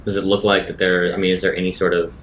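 Man, tabletop player, low voice, improvised roleplay, faint rumble behind. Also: level tone, fast talker, fairly bright tone. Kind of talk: improvised in-character roleplay and casual game talk.

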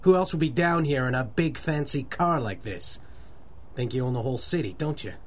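An actor playing a belligerent old neighbourhood racketeer in a radio drama, blustering and confrontational. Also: male voice, medium pitch, animated, normal speed, close to an everyday voice. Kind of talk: 1930s radio drama acting.

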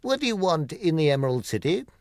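Stern pompous gatekeeper, man acting him out, raised swooping pitch, theatrical warnings, husky, storybook reading. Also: very animated, quick pace, no room sound, clean studio sound. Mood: challenging. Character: stern, pompous green man guarding city gates.

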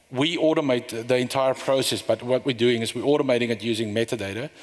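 Middle-aged guy demoing software on stage, rambly with lots of ums, mid pitch, long hall reverb. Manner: loose, rambling explanation with frequent fillers and restarts. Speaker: conference speaker. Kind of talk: live software demo talk. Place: conference room.

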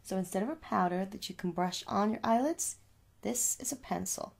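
A young adult woman doing a soft, reassuring ASMR makeup store roleplay, chatting casually and repeating herself as a shopkeeper. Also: mid-range voice, expressive, moderate pace, gravelly, breathy edge. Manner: reassuring, repetitive, conversational. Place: small room at home.

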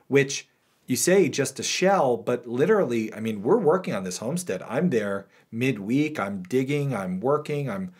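A man in his thirties co-hosting a podcast in casual chat, animated and joking, in a small wood-panelled studio room. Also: mid-range voice, husky. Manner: animated, joking, gestures a lot. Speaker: podcast co-host.

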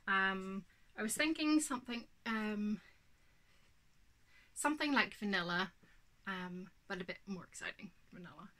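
Snuffly Northern Irish woman, slow chat. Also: mid-range voice, sharp tone. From Northern Ireland. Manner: chatty, unhurried, many ums. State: snuffly with hay fever. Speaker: knitting podcast host. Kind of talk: casual show-and-tell chat. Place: home craft room, indoors.

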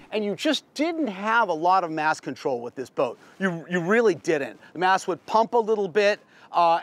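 A man's voice: high-pitched, no echo. A middle-aged American man giving a casual, unscripted boat tour in a plain-spoken, folksy, explanatory way, like a veteran sailor.

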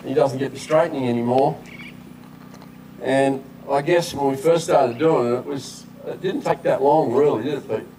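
A man's voice: medium pitch, moderate pace, dark tone. An older man with an Australian accent, a golf coach explaining technique conversationally at an outdoor clinic. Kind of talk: live golf clinic demonstration. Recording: distant camera mic outdoors, background noise.

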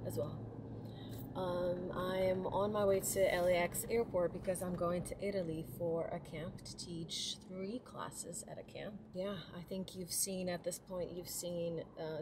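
A young woman from Portugal talking casually to a dashboard camera while driving, thinking aloud with fillers. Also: fairly low pitch. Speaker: vlogger, dancer. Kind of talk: casual vlog talk to camera. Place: inside a car. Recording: noisy car interior.

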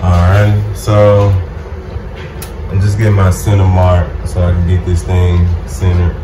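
A man's voice: sits low, monotone, unhurried. A man in his thirties hosting a casual DIY video, informally talking through each step as he works in a noisy home room. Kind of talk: casual hands-on product demo and tutorial. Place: small home game room, indoors.

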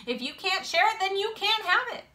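A woman's voice: fairly high pitch, sing-song, a little slow, bright, forceful tone, heavily acted. A woman voicing a confident, fair-minded schoolboy in a story, firm and matter-of-fact.

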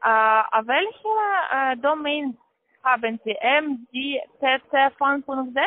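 A woman with a foreign accent, not a native German speaker, giving halting, insistent instructions as a call-centre caller. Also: sits high, fairly even intonation. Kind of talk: scripted phone instructions, spelling out slowly. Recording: tinny, narrow-band phone line.

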